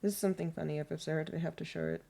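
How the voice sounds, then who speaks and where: A woman streaming a game in a mixed Polish and British accent that comes across as American. She reads case files and diary pages aloud, thinking out loud and catching small slips as she goes. Her voice sits low with a gravelly fry. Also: fairly even intonation, very fast. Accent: mixed Polish and British, American-sounding. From Poland. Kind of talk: game stream commentary, reading in-game notes aloud.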